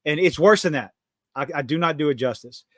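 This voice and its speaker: Man in his fifties hosting a livestream chat, animated storyteller, somewhat high pitch, dry mic. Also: fairly quick, no echo. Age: 50s. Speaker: podcast host.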